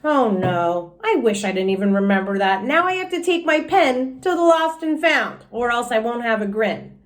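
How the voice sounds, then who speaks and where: A woman reading a children's storybook as an excitable, stubborn little girl, with an emphatic, childlike way of talking. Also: fairly high pitch, moderate pace, close to an everyday voice. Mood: dismayed, sulky. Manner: childlike grammar, emphatic, self-justifying. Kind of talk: children's storybook read aloud.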